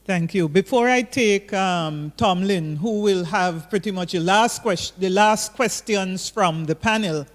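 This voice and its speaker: A man with a notably high voice moderates an online panel over a video call. He reads out questions hesitantly and keeps the speakers to time. His voice carries a long reverb from the room. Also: measured pace, hardly any pauses. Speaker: forum moderator.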